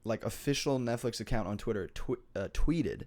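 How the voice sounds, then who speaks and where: A man's voice: medium pitch, expressive, moderate pace, a little mellow. A young man hosting a podcast, talking in a loose, slangy, rambling way with a stuffy nose. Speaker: podcast host. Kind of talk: casual chat.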